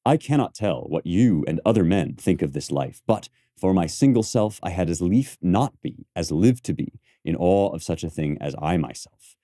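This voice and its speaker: A man's voice: low voice, animated, moderate pace, dark tone, no room sound, clean studio sound. A man playing a proud, resentful Roman conspirator in emphatic, rhetorical Shakespearean verse. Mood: proud, earnest. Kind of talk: dramatic Shakespearean verse recitation.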